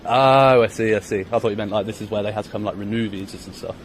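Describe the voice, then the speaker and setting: Fast-talking British man pressing his rights. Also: lowish voice, a little hiss or hum. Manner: assertive, persistent questioning about rights. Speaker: member of the public filming officers. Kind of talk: confrontational street exchange. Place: outdoors on a public footpath.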